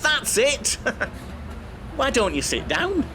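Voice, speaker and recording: A fussy, jokey male passenger prattles away in a high, very animated voice. He is apologetic and easily flustered. Clear vehicle noise sits behind him. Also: very slow, exaggerated voice. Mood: chatty, playful. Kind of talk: audio play comedy acting.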